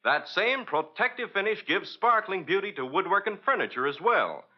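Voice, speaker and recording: A man's voice: high-pitched, sing-song, normal speed. A man announcing in a polished, theatrical 1940s radio style, as if reading sponsor copy. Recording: dull old radio recording.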